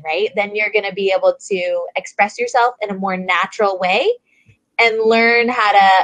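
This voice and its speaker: A woman's voice: medium pitch, a bit bright, dry recording, low-quality recording. A woman teaching English on a video call, encouraging and chatty, often saying "like" and "right?". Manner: encouraging, filler-heavy with "like" and "right?". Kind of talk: encouraging tutoring chat.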